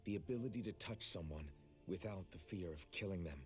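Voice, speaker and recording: Deep-voiced, earnest young hero played by a man. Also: fairly quick, faint music behind, lightly acted. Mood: sombre, resolute. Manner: plain-spoken, sincere. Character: earnest, determined young male hero, protective. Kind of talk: anime video game voice acting.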